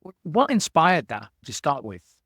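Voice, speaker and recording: A man with a fairly high voice hosts an interview podcast. He puts his questions casually and encouragingly, in informal conversational phrasing. The recording is dry and free of room sound. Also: big pitch swings, measured pace, a bit bright, breathy edge, no echo.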